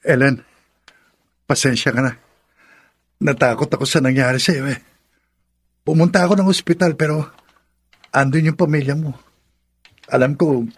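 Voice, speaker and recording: Husky, pleading young male lover. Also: mid-range voice. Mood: apologetic. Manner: pleading, insistent, melodramatic. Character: pleading, self-centred young male lover seeking forgiveness. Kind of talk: Filipino radio drama acting. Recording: music behind the voice.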